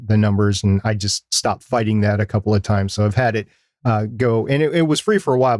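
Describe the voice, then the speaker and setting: A man's voice: fairly low pitch, darkish tone, dry recording, clean studio sound. A middle-aged man co-hosting a podcast, talking casually and plainly through practical options. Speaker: podcast co-host.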